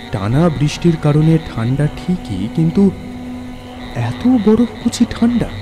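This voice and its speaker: A man's voice: medium pitch, dark tone. A man narrating a scripted ghost story as a dramatic storyteller. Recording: music bed under narration.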